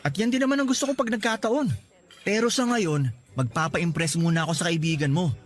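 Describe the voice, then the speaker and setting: A man's voice: high-pitched, brisk, natural voice. An actor playing a playful young man who is a reformed womanizer, teasing and boastful in a radio drama.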